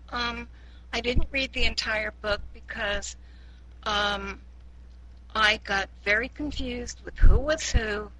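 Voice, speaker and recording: A woman casually giving her opinion in a book group, hesitant and pausing often. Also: mid-range voice, pushed and bright, a little hiss or hum, low-quality recording.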